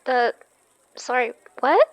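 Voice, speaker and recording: A woman playing a gentle, earnest young woman in an audio drama. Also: high voice, big pitch swings, slow pace, muffled recording, full character voice. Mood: confused.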